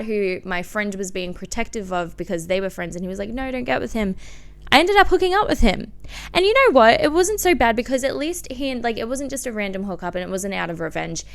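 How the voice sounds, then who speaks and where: Young woman podcast host, smooth clear voice, mid pitch, slangy and animated, chatty confessional storytelling. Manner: animated, slangy, self-mocking, acts out quoted remarks.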